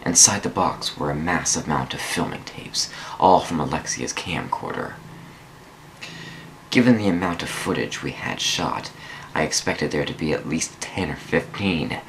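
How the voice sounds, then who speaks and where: A man's voice: very deep voice, normal speed, creaky, a bit bright, a little hiss or hum, rough recording. A man giving a theatrical dramatic reading of a first-person story, drawing out words for emphasis. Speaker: amateur dramatic reader of online fan fiction.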